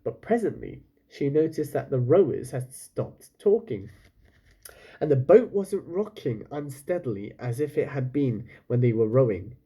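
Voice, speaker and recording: A man's voice: medium pitch, sing-song, a little slow, mellow, slightly rough. A man reading a children's story aloud like a teacher, breaking off for casual questions and comments to his listeners.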